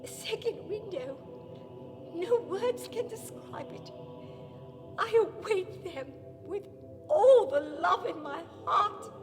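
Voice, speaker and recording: An actress playing the emotional, wistful spirit of a departed royal woman in a radio play, with dramatic, halting delivery. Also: very high voice, expressive, full character voice. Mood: tearful joy. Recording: reverberant hall with music behind.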